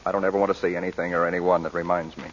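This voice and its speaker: A man's voice: sits low, flat delivery, very fast, lightly acted. A man playing a devoted father shaken by grief in a 1940s radio drama. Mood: bitter, curt. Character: devoted young father turned bitter by grief. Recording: muffled, very noisy vintage radio recording.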